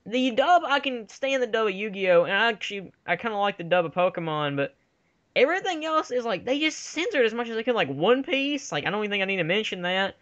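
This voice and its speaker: Higher-voiced young man, slightly muffled recording. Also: big pitch swings, fast talker, a bit bright. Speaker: fan commentary host. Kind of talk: casual chat, movie commentary. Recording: slightly dull lo-fi home recording.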